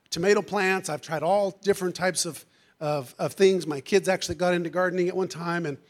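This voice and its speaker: High-voiced preacher man, going hoarse. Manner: fervent, peppers every phrase with "amen" and "hallelujah"; calls out to the congregation. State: losing his voice. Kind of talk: sermon. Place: church sanctuary.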